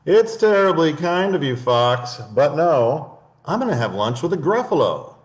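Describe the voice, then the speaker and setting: A sly little brown mouse from a picture book, quick-witted and bold, as a man reading aloud puts him on. The voice sits high and springs up and down. It rings out in an echoey room. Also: very animated. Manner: bright storybook voice, raised pitch.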